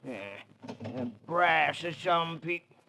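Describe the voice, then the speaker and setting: A man's voice: fairly high pitch, takes it slowly, creaky, pushed and bright, full character voice. A male actor playing a fussy, folksy old station agent with an American accent, stammering and repeating himself, in 1940s radio style. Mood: fussy, distracted. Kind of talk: 1940s radio character acting. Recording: noisy old radio recording with clicks.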